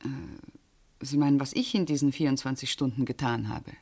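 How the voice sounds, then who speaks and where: A woman's voice: very deep voice, brisk, dark tone. Composed, exacting bank woman. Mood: guarded, precise. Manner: precise, restrained, curt answers. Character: composed, exacting female bank official, self-controlled and guarded. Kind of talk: German radio play acting.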